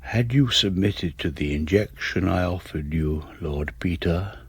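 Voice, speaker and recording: A man's voice: deep voice, a little slow, slightly rough, no room sound, exaggerated voice. An actor playing a cold, arrogant English surgeon who narrates his murder confession in a precise, clinical, self-satisfied manner for a radio drama. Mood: proud, composed. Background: music.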